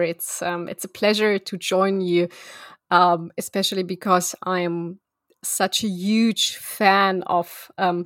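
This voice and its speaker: A woman's voice: lowish voice, dry recording, studio quality. A woman from Russia, raised in Germany, answering interview questions in a friendly, conversational way with frequent ums. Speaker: academic guest.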